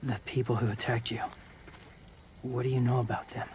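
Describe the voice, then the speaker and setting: Man acting a flat, terse, weary young wanderer. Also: medium pitch, normal speed, background noise, natural voice. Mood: guarded, terse. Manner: terse, clipped fragments, subdued. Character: quiet young male wanderer, weary, gentle, guarded. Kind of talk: restrained video game drama acting.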